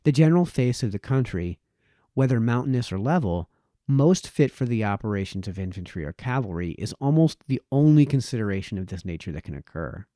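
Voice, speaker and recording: A man reading a formal historical essay aloud as narrator, in a deliberate, expository manner with varied emphasis. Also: fairly low pitch, animated, warm tone, no room sound, clean studio sound. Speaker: reader, narrator.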